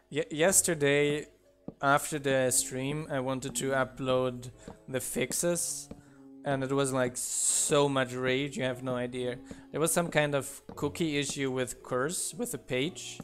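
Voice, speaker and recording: A man whose first language is not English, a mod developer chatting casually while building in a live game stream, counting blocks aloud and laughing at his own mishaps. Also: mid-range voice.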